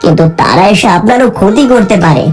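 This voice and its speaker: A woman's voice: medium pitch, very animated, fast talker, faint music behind, lightly acted. A woman voicing a poor, frightened old village man who pleads in a wavering voice. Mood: fearful, pleading.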